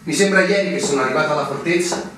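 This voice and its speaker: An actor playing an earnest, naive young lieutenant in a stage drama, with dreamy, lyrical diction. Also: male voice, on the high side, rapid-fire, background noise, natural voice. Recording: echoey hall, distant mic, music behind.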